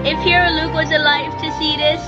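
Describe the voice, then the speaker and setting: A woman's voice: sits high, level tone, brisk, lo-fi sound, close to an everyday voice. A woman voicing a small, naive talking reindeer in an anime parody dub, childlike and earnest. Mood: wistful. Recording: loud music behind the voice.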